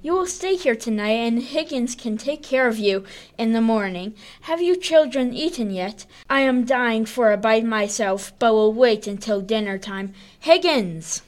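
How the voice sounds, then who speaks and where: A woman's voice: fairly high pitch, animated, measured pace. A woman playing a gracious, imperious vampire countess with an affected, ladylike and commanding manner.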